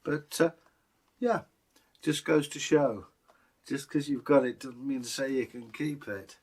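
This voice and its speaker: A man's voice: medium pitch, a little slow, a little mellow, slightly rough. British man, rambling storyteller. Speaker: co-host and storyteller. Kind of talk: folktale telling and casual chat.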